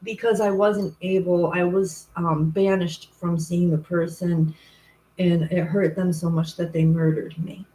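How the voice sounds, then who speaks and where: A woman's voice: fairly low pitch, little pitch movement, measured pace, warm tone, poor audio. A woman tarot reader chatting casually on a livestream, rambling and correcting herself often, with a commanding voice. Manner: rambling, self-correcting, frequent "like" and "um".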